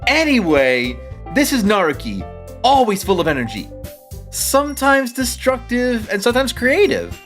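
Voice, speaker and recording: A woman playing a sunny, friendly, excitable teenage schoolboy in an audio drama, bubbly and eager. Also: on the high side, moderate pace, soft background music. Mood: cheerful.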